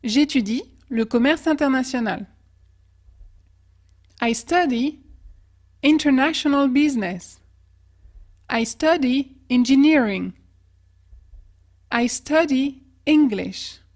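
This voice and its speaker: High-voiced woman teaching English slowly. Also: a little mellow. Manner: slow, careful model pronunciation of example phrases. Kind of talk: language lesson with example phrases.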